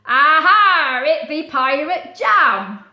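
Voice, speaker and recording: A woman's voice: high voice, very animated, very slow, roomy sound, voice pushed up, heavily acted. A woman voicing a hearty male pirate from a children's storybook, calling out his wares in pirate-speak. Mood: triumphant. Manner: loud pirate-speak, calling out like a market seller. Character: hearty male pirate hawking jam and jumpers. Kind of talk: children's storybook read-aloud.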